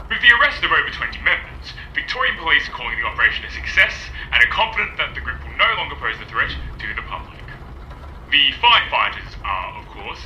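A man's voice: mid-range voice, bright, forceful tone. A man playing a formal, serious newsreader in an audio drama. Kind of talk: news bulletin in audio drama. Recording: roomy broadcast sound, faint noise.

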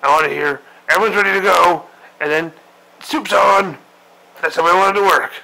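Game parody: man playing a cranky old admiral, gravelly, bright and cutting, foul-mouthed griping. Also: high voice, moderate pace, exaggerated voice, poor audio. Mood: ranting. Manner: profane, griping rant. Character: grumpy old male admiral commander, crude and impatient. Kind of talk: comedic parody monologue.

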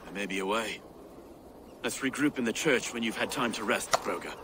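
An actor playing a grave, wary, steadfast soldier in a video game, with earnest, deliberate phrasing. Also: male voice, lowish voice, noisy background, lightly acted. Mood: resolute. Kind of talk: dramatic video game acting.

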